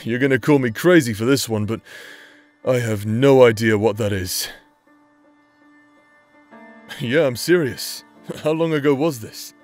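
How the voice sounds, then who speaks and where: A man's voice: medium pitch, normal speed, lightly acted. A man playing a brash, teasing knight captain, a loyal younger brother, in an anime-style romance audio drama, talking in an informal, playfully sarcastic way. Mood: frank, reassuring. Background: background music.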